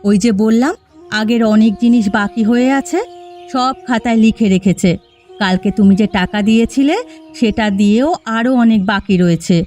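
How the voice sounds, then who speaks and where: A weary farmer's wife laments hunger and her wayward son, voiced by a woman in a cartoon. Her voice sits a little high and she complains in a sorrowful way. Faint music runs underneath. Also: normal speed, darkish tone, lightly acted. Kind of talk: cartoon dubbing.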